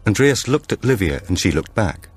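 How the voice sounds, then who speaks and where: A man reading a thriller audiobook as a dramatic narrator, with measured, expressive storytelling. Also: fairly low pitch, breathy edge, dry recording.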